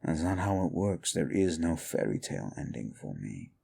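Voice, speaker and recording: A man voicing a brooding, scornful fallen demon in an audio roleplay, with a deep gravelly voice and a slow way of talking. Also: big pitch swings, mellow, exaggerated voice. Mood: weary.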